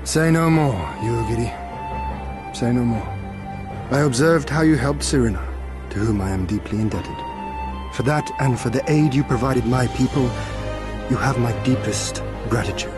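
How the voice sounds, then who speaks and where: An actor playing a wry, resolute young samurai lord in a fantasy game, with formal, archaic, courtly speech. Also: male voice, mid-range voice, normal speed, pauses often, over music, lightly acted. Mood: grateful, solemn. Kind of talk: fantasy game cutscene acting.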